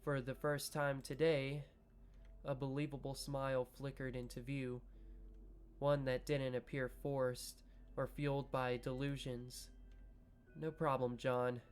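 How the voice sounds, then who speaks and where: Slow-reading young man playing a game. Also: medium pitch, flat delivery, clear, resonant tone. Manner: slow, measured reading broken by joking asides. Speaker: gaming video creator. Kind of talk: reading a visual novel aloud with casual commentary.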